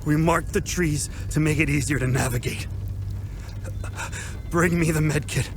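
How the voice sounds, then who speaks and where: An actor playing a wounded, rattled soldier in pain, speaking urgently and haltingly in a video game. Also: male voice, a little high, steady tone, slight background noise. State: hurt, in pain. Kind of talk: naturalistic video game dialogue acting.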